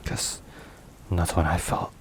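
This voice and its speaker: A man playing a brooding but tender mafia boss boyfriend in an intimate ASMR roleplay, murmuring haltingly with hushed pauses. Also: slight background noise, natural voice. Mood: touched, awkward. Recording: rain and thunder behind voice. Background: rain and thunderstorm ambience.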